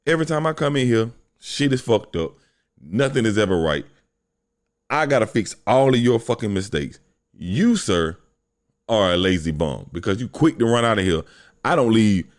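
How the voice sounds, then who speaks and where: A young man hosting a solo podcast, talking bluntly and profanely in a deep voice, telling a story with lots of animation. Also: sing-song, moderate pace. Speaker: podcast host.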